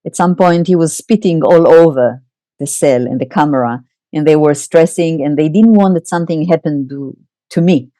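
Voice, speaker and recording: A middle-aged woman with an Israeli accent, a psychoanalyst guest in an interview, telling her story candidly with halting pauses and wry laughs. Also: deep voice, mellow, no room sound.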